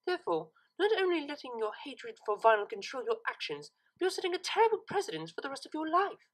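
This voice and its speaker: A woman's voice: sits high, very animated, fast talker, pitched above the performer's own voice, low-quality recording. A woman voicing a refined young cartoon mare who speaks formally and with cool disapproval. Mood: stern, lecturing. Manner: formal, articulate, lecturing.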